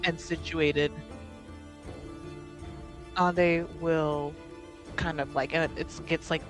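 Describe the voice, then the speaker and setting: An unhurried woman talks casually as a player in a tabletop roleplaying game. She slips between narration and banter in an informal, joking way, often with a laugh. Soft music plays behind her. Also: deep voice.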